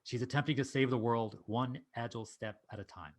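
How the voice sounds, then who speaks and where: Guy hosting a meetup over a video call, easygoing, some ums, middle pitch. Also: fairly quick. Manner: relaxed, informal with fillers. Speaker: meetup host. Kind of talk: event announcements and speaker introduction.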